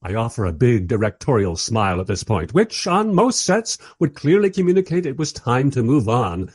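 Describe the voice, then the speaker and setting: An actor playing a long-suffering documentary director in a radio play, narrating in a dry, sardonic, conversational and diplomatic way. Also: male voice, mid-range voice, big pitch swings, moderate pace, a little mellow, dry recording. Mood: wry. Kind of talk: first-person radio play narration and dialogue.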